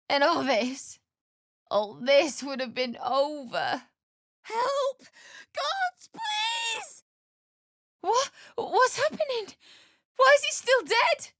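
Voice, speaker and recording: High-voiced distraught young widow. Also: female voice, a bit bright, no echo, clean studio sound, exaggerated voice. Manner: emotional, pleading, swinging between grief and anger. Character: distraught young pregnant widow, desperate, bitter, grieving. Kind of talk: dramatic video game voice acting.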